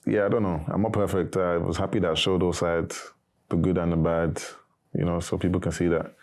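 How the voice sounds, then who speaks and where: A young man, a former reality show contestant, answering interview questions in a casual, monotone way. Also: sits low. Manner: monotone, not very animated.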